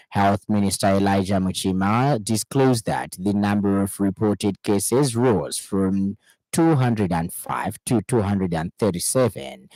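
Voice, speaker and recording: Zambian guy reading radio news, lowish voice, formal, dry studio sound. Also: darkish tone, no echo, poor audio. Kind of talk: scripted news bulletin reading.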